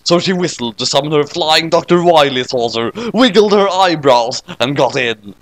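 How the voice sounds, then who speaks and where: Animated man narrating a comic story. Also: on the high side, normal speed, dry recording. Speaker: narrator reading fan fiction.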